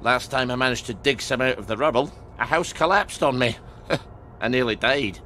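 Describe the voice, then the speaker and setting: An actor playing a blunt, weary, sardonic rebel in a video game. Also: male voice, mid-range voice, moderate pace, sharp tone, a little hiss or hum, lightly acted. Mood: wry. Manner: blunt, sardonic, foul-mouthed. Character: gruff, sardonic, weary rebel man named Ollie. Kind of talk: dramatic video game voice acting.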